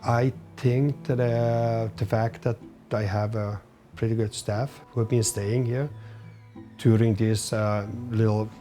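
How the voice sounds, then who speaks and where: A middle-aged Finnish-accented man answers questions slowly and haltingly, often hunting for the right English word. His voice sits low and sounds mellow and warm. Soft music plays faintly beneath him. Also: flat delivery. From Finland. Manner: halting, searching for words, loose grammar. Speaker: chef and business owner. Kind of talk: interview answers.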